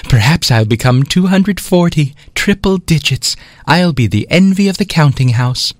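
A man doing a vain little female number, high-pitched and haughty, her voice swooping as she boasts. She sounds grand and full of herself, straight out of a children's storybook. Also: expressive, normal speed, no room sound, close to an everyday voice. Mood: proud. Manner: haughty and gushing, high and bright. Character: proud, boastful female number twenty-four.

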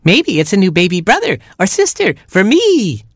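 A storyteller voicing a tiny, excitable boy chick who squeals and whines dramatically. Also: moderate pace, husky, dry recording, studio quality. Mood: squealing. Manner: shrill, squealing and breathless.

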